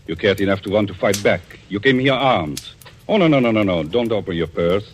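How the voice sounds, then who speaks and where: An actor playing a vengeful, coolly controlled man in a 1940s radio suspense drama, speaking deliberately and theatrically. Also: on the low side, lively intonation, slight background noise, lightly acted. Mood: coolly menacing. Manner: deliberate, theatrical, quietly menacing. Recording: old radio recording with music.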